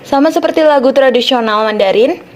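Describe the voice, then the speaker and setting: A young woman with an Indonesian accent explains school topics on a student podcast. She reads from a script in a moderately high voice. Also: a little fast.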